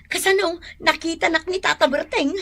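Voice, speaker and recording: A woman's voice: very high-pitched, steady tone, normal speed, fairly bright tone. An actress playing a cheeky village wife who chats and makes excuses to her husband and neighbors. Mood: chatty.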